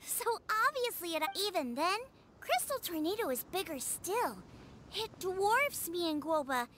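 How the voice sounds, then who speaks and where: A woman's voice: extremely high pitch, big pitch swings, a little slow, fairly bright tone, a little hiss or hum, full character voice. A woman voicing a perky young female chef with an earnest, matter-of-fact manner in a video game. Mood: explaining.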